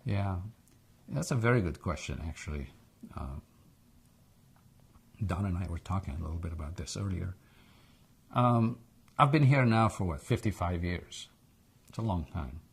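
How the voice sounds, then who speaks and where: Older accented male interviewee, gravelly and husky, reflective, halting delivery. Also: sits low, animated. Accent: foreign English accent. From Egypt. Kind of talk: oral history interview.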